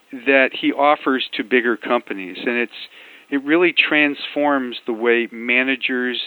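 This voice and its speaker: A man hosting a coaching group call, encouraging and halting, with many fillers. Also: mid-range voice, no room sound. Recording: dry, tinny phone line.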